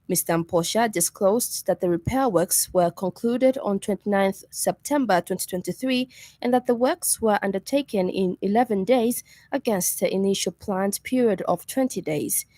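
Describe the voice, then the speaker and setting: A woman with a Zambian accent reading a scripted radio news bulletin in a formal, measured manner. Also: mid-range voice, darkish tone, dry recording.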